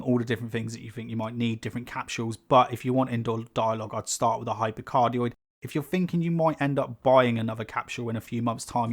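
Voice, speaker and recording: Man, mid-pitched, sibilant and slightly rough-throated, rambling casual delivery, small home room, overhead condenser mic. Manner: rambling, chatty, many fillers and asides. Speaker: YouTube creator. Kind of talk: casual unscripted talk to camera. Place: small carpeted home room with foam panels. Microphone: pencil condenser on a stand above the speaker.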